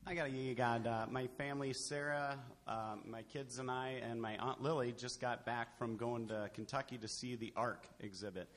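A man's voice: mid-range voice, fairly even intonation, moderate pace, few pauses, a bit bright. A man in his thirties or forties giving a casual testimony as a member of a congregation. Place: large church sanctuary, indoors. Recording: echoey noisy church hall.

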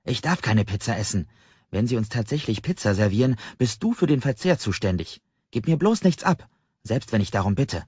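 A man's voice: mid-range voice, big pitch swings, fairly quick, a little mellow, breathy edge, no echo, lo-fi sound. A man narrating an audiobook and voicing a playful young male entrepreneur with a boyish, theatrical, mock-pompous manner. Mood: pleading.

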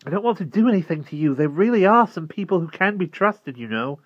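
A man's voice: deep voice, normal speed, a bit bright, dry recording, lo-fi sound. A man voicing an anxious, earnest young boy in a storybook, with a pleading, honest manner. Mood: reassuring.

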